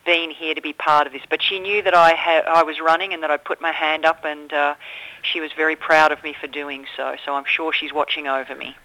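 Australian woman, middle-aged, political candidate, steady flat delivery, many ums. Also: sits low, dry recording, low-quality recording. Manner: earnest, measured, frequent ums. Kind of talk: radio phone interview.

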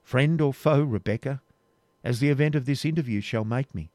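A man reading an audiobook, voicing a proud medieval knight in a grave, measured manner. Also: mid-range voice, darkish tone, no echo, pitched above the performer's own voice, lightly acted. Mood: tense. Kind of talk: audiobook reading, period novel.

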